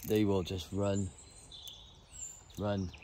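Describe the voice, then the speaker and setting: A man's voice: low voice, flat delivery, extremely slow, warm tone. A man in his thirties giving informal, chatty fishing commentary in short bursts, recorded outdoors. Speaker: angler presenting a fishing guide. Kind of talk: casual vlog commentary while fishing. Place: outdoors on a wooded lake bank. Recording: outdoor recording with clear nature noise.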